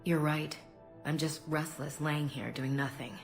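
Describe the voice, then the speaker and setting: A low-pitched, tough and candid military woman in game dialogue. She sounds earnest and restrained, with music playing under her words. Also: fairly even intonation, a little slow. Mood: subdued, vulnerable. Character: female soldier officer, tough, candid, guarded. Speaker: voice actor in a video game. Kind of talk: dramatic video game dialogue.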